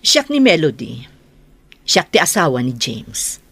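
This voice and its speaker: An actress with an Ilocano accent playing an assertive, possessive estranged wife, husky and insistent, in a radio drama. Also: female voice, deep voice, wildly swooping pitch, a little slow, no echo, heavily acted. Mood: coolly confrontational.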